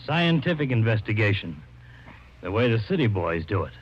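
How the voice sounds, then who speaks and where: Casting: folksy small-town sheriff in radio western, noisy dull vintage sound. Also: male voice, lowish voice, very animated, moderate pace. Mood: easygoing. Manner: folksy, laconic. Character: easygoing rural Western sheriff, folksy and laconic. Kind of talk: 1950s radio acting.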